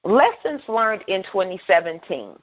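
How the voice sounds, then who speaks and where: A woman hosting a faith talk radio show, loud and booming, bold and animated, laughing often. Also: measured pace. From Southern United States. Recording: dull lo-fi sound.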